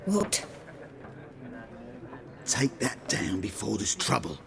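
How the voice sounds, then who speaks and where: A bullying soldier with a heavily gravelly, slightly high voice. He drawls slowly, swinging his pitch up and down as he sneers and threatens. A crowd murmurs behind him. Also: big pitch swings, heavily acted. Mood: sneering.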